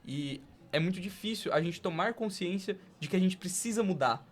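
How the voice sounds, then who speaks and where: A man's voice: high voice, animated, normal speed. A young man with a Brazilian accent hosting a podcast in casual, slangy conversation. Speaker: podcast host.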